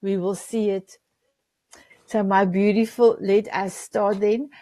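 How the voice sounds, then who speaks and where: A woman's voice: medium pitch, fairly even intonation, slow, dark tone, dry recording. A woman whose first language is Afrikaans, hosting a talk show in an effusive way, often searching for words. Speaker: show host.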